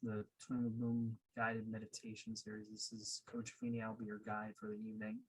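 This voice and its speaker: A man leading a guided meditation as a coach, speaking in a calm, unhurried way with pauses. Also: medium pitch, gravelly, slightly rough, low-quality recording.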